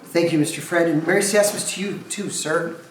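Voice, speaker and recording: Meek office clerk played by a man, deferential, hesitant, lightish pitch, very echoey room with faint noise. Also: a little fast, lightly acted. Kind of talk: amateur comic dramatic play reading.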